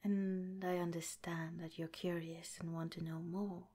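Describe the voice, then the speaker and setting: A woman plays a kindly head scientist who whispers every word, low and unhurried. Her tone is mellow and tender, soothing a listener toward sleep in an audio roleplay. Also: flat delivery, husky. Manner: soft, tender, reassuring. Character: gentle caring female head scientist, middle-aged, soothing. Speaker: institute director in an audio roleplay. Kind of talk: ASMR roleplay.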